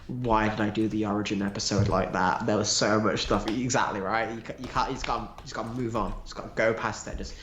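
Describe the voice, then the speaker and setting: A man co-hosting a casual livestream, tossing in jokes and quick asides. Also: on the low side, steady tone, a bit bright, a little hiss or hum. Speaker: livestream co-host. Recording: echoey room.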